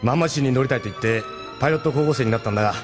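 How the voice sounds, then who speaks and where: Mid-pitched male captain played by an actor, protective, gruff, measured, sci-fi radio drama, music behind. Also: natural voice. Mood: reflective, concerned. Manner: measured, gruff masculine speech, fatherly. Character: steady, protective male captain of a rebel pilot team.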